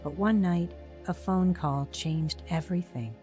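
A woman playing a narrator who looks back on her troubled youth in measured, reflective audio drama storytelling. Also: on the low side, warm tone, soft background music, lightly acted. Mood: reflective, regretful. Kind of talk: scripted first-person dramatic narration.

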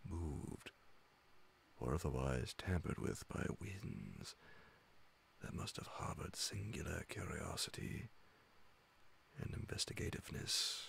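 Very deep, gravelly man reading a bedtime story. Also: sing-song, slow pace, long pauses, fairly bright tone, slight background noise. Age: middle-aged. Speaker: narrator reading classic horror stories. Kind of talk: ASMR audiobook-style bedtime story reading. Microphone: large studio condenser microphone close beside him, headphones on.

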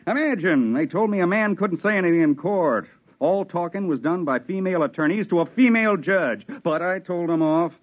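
An actor playing a big, brash, folksy Venusian colonist in a 1950s radio drama, with a cocky, plainspoken drawl. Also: male voice, sits high, lively intonation, fairly quick. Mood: indignant. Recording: dull lo-fi 1950s radio recording.

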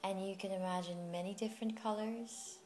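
A woman with a mid-pitched voice leads a guided meditation over music. She speaks soothingly and without hurry, leaving long pauses between short phrases, in the manner of a yoga teacher. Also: fairly even intonation, a little mellow. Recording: music playing behind the voice.

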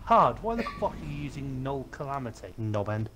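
A man's voice: mid-range voice, very animated, brisk, music in the background. A man leading a game raid over voice chat, joking and swearing casually. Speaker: raid leader.

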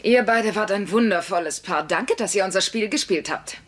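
A woman's voice: mid-range voice, moderate pace, heavy background noise, close to an everyday voice. An actress playing a wry, sarcastic working-class mother in a dubbed sitcom. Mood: dry, sardonic. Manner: dry, sarcastic. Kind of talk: German sitcom dubbing.

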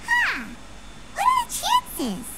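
A woman voicing a tiny, excitable, cheeky fairy companion in a video game, with a squeaky childlike voice. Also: takes it slowly, background noise, exaggerated voice. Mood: surprised. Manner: exclamatory, cheeky. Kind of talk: video game character dialogue.

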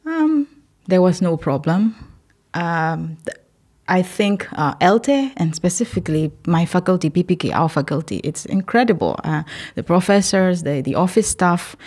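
A young woman from Myanmar, not a native English speaker, answering interview questions as a PhD student, thoughtful and laughing softly between phrases. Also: fairly low pitch, expressive, moderate pace, husky. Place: indoor podcast recording.

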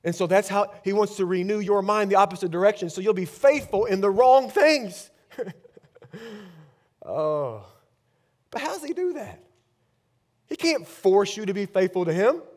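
A man in his thirties preaching a sermon on a large church stage with a headset mic, swinging between loud bursts and hushed lines. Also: high voice, lively intonation, normal speed, long pauses. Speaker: pastor.

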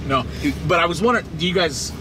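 A middle-aged man in a car, stammering haltingly and trailing off as he plays a confused drive-thru customer. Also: on the high side, animated. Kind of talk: hidden-camera prank chat. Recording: noisy car with engine and street sound.

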